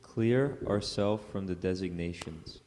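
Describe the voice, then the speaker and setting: Low mellow man repeating lines in a hall. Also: unhurried. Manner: echoes each phrase back after the speaker. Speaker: class participant. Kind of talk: repeating lecture lines aloud. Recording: echoey hall with long reverb, faint noise, off mic.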